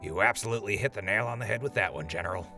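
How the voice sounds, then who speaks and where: A man's voice: on the low side, very fast, vocal fry, sharp tone, soft background music. A man playing an earnest, cheeky wasteland radio host in an audio drama, conversational and deferential. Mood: earnest, curious. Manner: conversational, deferential, hesitant when flustered. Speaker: radio host interviewing a general.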